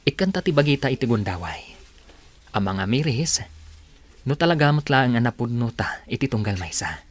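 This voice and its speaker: Husky, earnest Ilocano suitor. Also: male voice, medium pitch, very animated, normal speed. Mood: tender, pleading. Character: earnest young suitor, soft and persuasive. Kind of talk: Ilocano radio drama acting.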